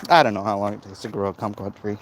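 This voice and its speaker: A man's voice: on the low side, fairly even intonation, very fast. A man chatting casually and jokily as he hosts a livestream while walking outdoors. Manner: chatty, jokey, reading out viewer comments. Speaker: livestream host. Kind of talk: casual walk-and-talk vlog chat. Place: outdoors, walking through a neighbourhood. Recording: noisy outdoor recording, clicks and handling.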